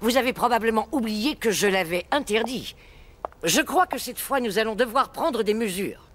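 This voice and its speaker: A woman's voice: a little high, expressive, moderate pace, a bit bright. A woman playing a stern guardian in a French cartoon, sarcastic, formal and menacing. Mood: cold menace. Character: stern, mocking guardian who forbids a girl dancing. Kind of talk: dubbed French cartoon villain acting.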